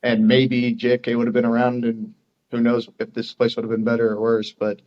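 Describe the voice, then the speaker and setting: A man's voice: mid-range voice, completely flat delivery, brisk, highs cut off. An American man talking as a podcast guest in casual chat, easygoing, plain-spoken and loosely phrased. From Dayton, Ohio, USA. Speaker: podcast guest, air traffic controller.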